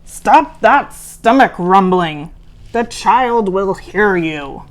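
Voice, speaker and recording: A woman voicing a stern male monster teacher in a storybook, scolding and instructing with slow, exacting delivery. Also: medium pitch, animated.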